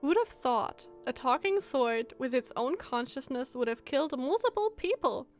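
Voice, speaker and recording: A woman's voice: sits high, very animated, moderate pace, faint music behind. A woman streamer giving casual commentary on a game, reacting expressively with exclamations and musing questions.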